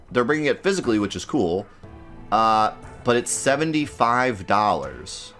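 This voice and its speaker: A man hosting a gaming channel, sounding a bit nasal and congested from a cold, talking casually and haltingly with lots of fillers as he airs his gripes. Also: mid-range voice, fairly bright tone. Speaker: let's play host. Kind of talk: casual gaming commentary to viewers.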